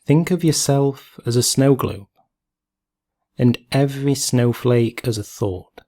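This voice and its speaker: A man leading a guided meditation in a calm, gentle, measured way, leaving long pauses between phrases. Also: medium pitch.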